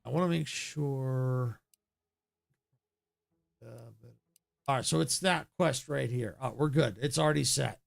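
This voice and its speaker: A man streamer chatting casually as he wraps up his stream, rambling with many restarts, his voice getting raspy. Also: mid-range voice, unhurried, pauses often. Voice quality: gravelly. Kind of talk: casual stream sign-off chat.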